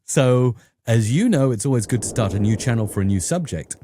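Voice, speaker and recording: A middle-aged man with a southern English accent, telling stories conversationally as an interview guest, often acting out quotes in an animated manner. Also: mid-range voice, fairly quick, a little hiss or hum. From England. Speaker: YouTube educator and interview guest.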